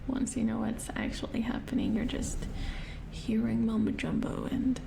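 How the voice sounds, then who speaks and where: A woman playing a calm, amused robot repair engineer in an ASMR roleplay, whispering breathily as she patiently explains in halting, musing phrases. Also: fairly high pitch, creaky, full character voice. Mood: quietly amused. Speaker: ASMR performer. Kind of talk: soft-spoken ASMR roleplay.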